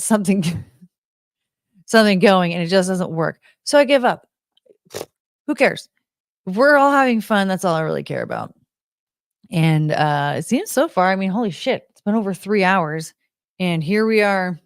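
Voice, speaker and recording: A woman's voice: on the low side, no echo, clean studio sound. An American woman hosting a live stream, chatting in a rambling, unfiltered way with casual swearing. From United States.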